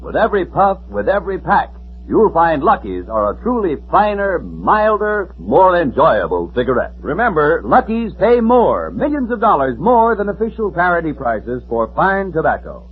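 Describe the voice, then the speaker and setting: A man's voice: a little high, sing-song, normal speed, husky, faint music behind. A man delivering an emphatic, declamatory 1940s radio commercial as an announcer. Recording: thin, tinny 1940s radio recording.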